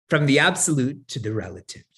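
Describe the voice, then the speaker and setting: Husky man giving a spiritual talk. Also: mid-range voice, a bit bright. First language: English. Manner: asks the audience questions and answers them himself; chuckles often while talking. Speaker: spiritual teacher. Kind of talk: spiritual discourse.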